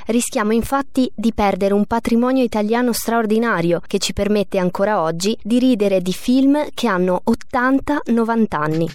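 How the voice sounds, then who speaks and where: A woman's voice: fairly high pitch, moderate pace, few pauses, darkish tone, no echo. A woman narrating a scripted festival report as one of its hosts.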